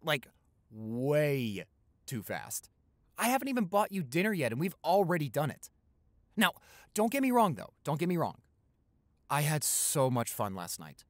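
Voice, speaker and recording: A man's voice: on the high side, wildly swooping pitch, unhurried, pauses often, dry recording, studio quality. A young man playing a tender, playful, smitten new boyfriend in an intimate roleplay, talking in a conversational, hesitant, affectionate way. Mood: smitten, earnest. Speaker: roleplay audio performer.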